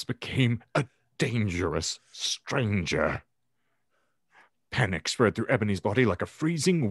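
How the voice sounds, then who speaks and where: A man reading fiction aloud in a theatrical, ornate storytelling style with dramatic pauses. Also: medium pitch, breathy edge, no room sound, studio quality. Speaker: podcast host reading aloud his own fan fiction. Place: indoor room at home, video call.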